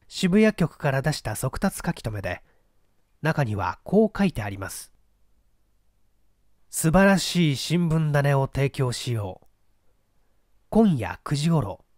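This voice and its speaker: Animated male storyteller, dry recording. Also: a little high, no echo. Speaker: audiobook reader. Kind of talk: dramatic literary narration of a mystery story.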